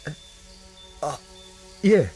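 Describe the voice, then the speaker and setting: A man's voice: very slow, warm tone, faint music behind. An actor playing a polite, wary young man in an audio drama, speaking hesitantly. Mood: polite, uncertain. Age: young adult. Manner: hesitant, polite, murmuring inner thoughts. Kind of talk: Japanese audio drama acting.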